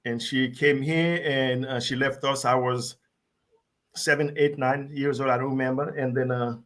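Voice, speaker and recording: A man in his sixties from Haiti, a guest telling his story in a relaxed, laughing way. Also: mid-range voice. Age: 60s. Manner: warm, laughing, unhurried storytelling. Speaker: guest actor. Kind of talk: casual interview chat.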